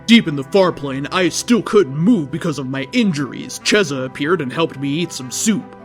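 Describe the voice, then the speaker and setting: A man's voice: on the high side, animated, moderate pace, faint music behind. A man reading fan fiction aloud as narrator, in a theatrical, mock-earnest comic style. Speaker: story reader.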